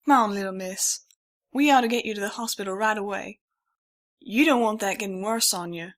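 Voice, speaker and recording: A woman's voice: medium pitch, brisk, lightly acted. A woman voicing a kindly, pushy middle-aged male pony with a Southwestern American twang and folksy country talk. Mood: hearty, insistent. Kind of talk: audiobook character voice.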